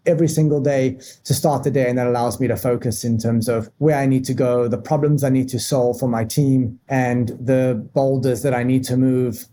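Dark-voiced man, methodical. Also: medium pitch, fairly even intonation, slight background noise. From South Africa. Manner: measured and methodical, lists points step by step. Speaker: marketing leader, podcast guest. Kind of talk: structured business talk.

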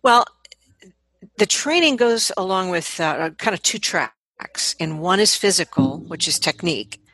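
Woman, husky voice, dry close sound, animated conversational explainer, vocal coach. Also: on the low side, a little slow, dry recording, low-quality recording. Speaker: vocal coach, podcast guest.

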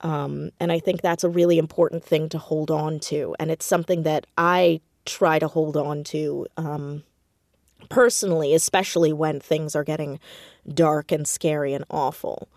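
A woman being interviewed as an audio drama creator, talking conversationally in an expressive, effusive way. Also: low voice, normal speed, no echo, studio quality. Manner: expressive, effusive, acts out quoted dialogue. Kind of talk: animated conversational interview, storytelling with acted quotes.

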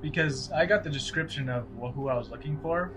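A man's voice: a little high, fairly quick, a crowd in the background, rough recording. A man talking casually as a player in a tabletop roleplaying game, working out his character's actions. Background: music.

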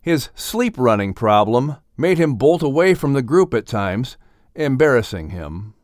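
A man narrating a children's audiobook in an expressive scripted storytelling style. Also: medium pitch, measured pace, dry recording, studio quality.

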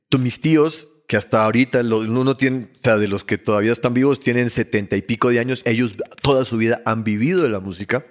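Fifties male guest, mid-pitched, animated storyteller who restarts his sentences, casual interview talk. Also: fast, hardly any pauses. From Colombia, raised in Ecuador. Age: 50s. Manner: animated storyteller, frequent restarts, laughs easily. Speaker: musician guest.

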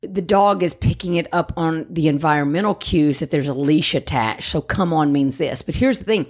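A woman explains dog training on a casual podcast, emphatic and often checking in with "right?". Her voice sits a little low and has a gravelly, fry-laden edge. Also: moderate pace. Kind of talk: casual chat.

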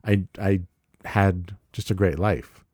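Deep voiced podcast guy, slow and thoughtful, kinda hesitant. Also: fairly even intonation, darkish tone, no room sound, clean studio sound. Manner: measured, thoughtful, a bit halting. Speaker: podcast co-host. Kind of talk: reflective conversation.

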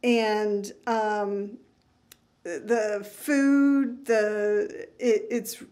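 A woman's voice: mid-range voice, fairly even intonation, extremely slow, darkish tone. A middle-aged woman from New England, a psychotherapist speaking as a guest in a casual, earnest interview and laughing easily. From New England, United States.

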